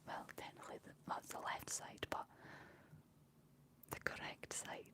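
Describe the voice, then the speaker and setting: A woman with a Scottish accent whispering as an ASMR live streamer, reading and answering chat messages. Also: measured pace. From Scotland. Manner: speaks entirely in a whisper.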